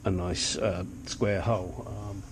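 A man's voice: low-pitched, steady tone, slow, noisy background. An older man with a sniffly nose giving a blunt, chatty walkthrough of his engine work in a home garage. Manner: blunt, chatty, self-deprecating. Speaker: hobbyist engine restorer. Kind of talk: casual workshop walkthrough. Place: cluttered home garage workshop.

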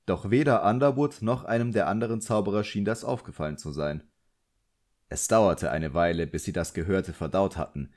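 Deep mellow guy reading a novel. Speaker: audiobook narrator. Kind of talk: scripted literary narration.

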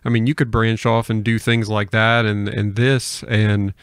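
A man's voice: fairly low pitch, level tone, moderate pace, clean tone, no room sound. A young man hosting a podcast, chatting casually on a close microphone. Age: young adult. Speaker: podcast host. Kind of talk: casual chat. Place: small podcast studio.

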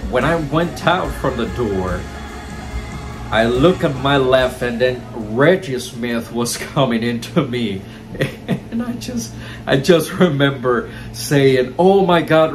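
A young man from Cuba, a show host telling a story to the camera in an effusive, enthusiastic way while seated on a couch indoors. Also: mid-range voice. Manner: effusive, enthusiastic, heaps praise. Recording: steady background noise.

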